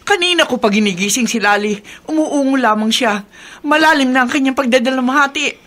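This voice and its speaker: An actress playing an anxious young daughter in a Filipino horror radio drama, earnest, worried and urgent. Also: female voice, sits high, a little fast, fairly bright tone.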